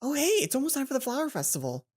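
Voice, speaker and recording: A woman reading a visual novel game aloud as a let's play host, playful and expressive, often stumbling and rephrasing. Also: sits high, racing.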